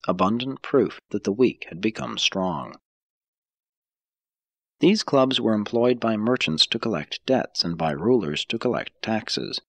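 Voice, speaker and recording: A man narrating an audiobook from a script in a measured, formal way, with deliberate emphasis. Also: on the low side, fairly bright tone, no room sound.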